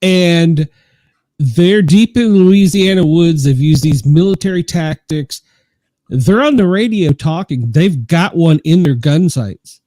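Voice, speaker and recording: A man hosting a podcast, telling stories in an animated, casual way. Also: a little high, takes it slowly, no echo. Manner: animated storyteller, laughs while telling. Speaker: podcast host.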